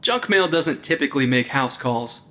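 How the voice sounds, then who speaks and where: A man's voice: medium pitch, lively intonation, normal speed, sharp tone. A young man playing a wry, nerdy, jittery investigator in a supernatural comedy audio drama, with dry, quippy banter. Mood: wry, intrigued. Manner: dry, quippy, slightly pompous.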